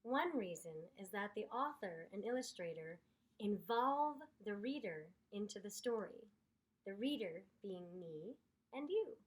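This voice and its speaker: A woman reading aloud to children in an expressive storytelling style, with deliberate, dramatic emphasis. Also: mid-range voice, poor audio. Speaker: storybook reader.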